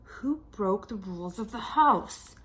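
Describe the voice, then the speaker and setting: A woman voicing a hungry, menacing male bearskin rug monster in a children's storybook, making slow, deliberate threats. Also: medium pitch, expressive, a bit bright.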